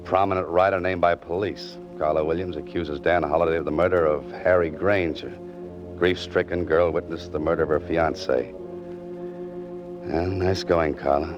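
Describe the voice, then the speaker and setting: A very low-voiced actor playing a male writer on the run, cool and wry. He tosses off deadpan wisecracks in 1940s radio detective style, on a muffled old-time radio recording. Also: moderate pace, over music, close to an everyday voice.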